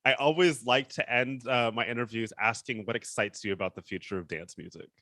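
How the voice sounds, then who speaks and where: An easygoing man hosts a casual interview, upbeat and sprinkling in ums as he speaks. His voice is bright and forceful, and the recording is dry and close with no room sound. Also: mid-range voice, a little fast, no echo. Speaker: interviewer, host.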